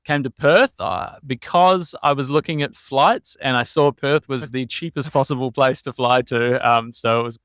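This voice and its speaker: Young kiwi guy rambling through a travel story, lots of ums, smooth voice, dry sound. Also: medium pitch, normal speed, hardly any pauses, no echo. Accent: New Zealand. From New Zealand. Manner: relaxed, meandering storytelling with frequent ums. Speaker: podcast guest. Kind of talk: casual interview chat.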